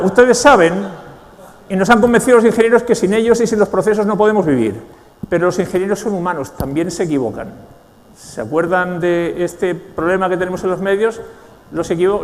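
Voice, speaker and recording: A man's voice: high voice, brisk, big-room sound, slight background noise. A man from the Basque Country with a Spanish accent, giving a joking, ironic keynote talk to a business audience. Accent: Spanish, from Spain. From Spain, Basque Country. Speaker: conference speaker. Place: large event hall.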